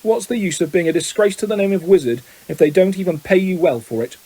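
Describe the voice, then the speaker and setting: A man voicing a haughty, malicious older wizard father in an audiobook, speaking with slow, cold contempt. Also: sits high, warm tone, breathy edge, no room sound, low-quality recording. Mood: sneering contempt.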